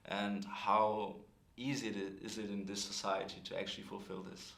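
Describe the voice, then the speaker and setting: A man's voice: lowish voice, little pitch movement, normal speed, vocal fry, fairly bright tone, reverberant. A man interviewing a guest, asking long, careful questions with many fillers.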